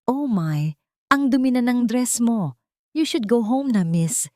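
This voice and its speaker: A woman's voice: fairly high pitch, animated, takes it slowly, darkish tone, dry recording, studio quality, pitched above the performer's own voice. A woman voicing a glamorous, condescending mistress in an audio drama, sweetly taunting and mixing English into her speech. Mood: sweetly mocking. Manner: sugary, taunting, code-switching between English and Tagalog. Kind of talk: audio drama character acting.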